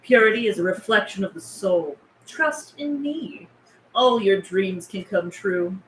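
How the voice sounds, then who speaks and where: A woman's voice: mid-range voice, animated, a little slow, close to an everyday voice. A woman playing a serene, seductive, cult-like radio show host with a solemn, hypnotic manner. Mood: serene, commanding. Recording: roomy, distant mic, faint noise.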